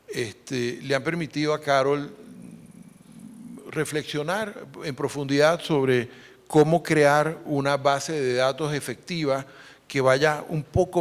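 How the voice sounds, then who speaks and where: A man presenting a formal, explanatory conference lecture as interpreter, with hesitant pauses. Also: mid-range voice. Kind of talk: conference lecture on numismatics, relayed for another speaker. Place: large conference hall. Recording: echoey hall with long reverb.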